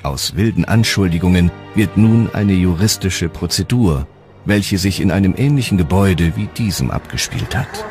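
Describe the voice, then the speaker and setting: A man narrating a historical documentary from a script in a measured, serious storytelling manner. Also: deep voice, animated, darkish tone, soft background music.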